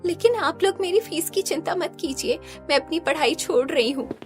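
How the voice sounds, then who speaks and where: A woman's voice: very high-pitched, moderate pace, music in the background. A woman voicing a girlish, emphatic college-age younger sister in a cartoon family drama. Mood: upset.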